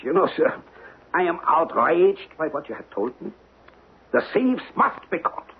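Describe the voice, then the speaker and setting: Very husky, indignant gentleman. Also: fairly high pitch, very animated, a little slow, heavily acted. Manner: indignant, stiff, formal. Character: outraged, formal European businessman. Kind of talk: old-time radio drama acting. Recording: muffled band-limited old radio recording.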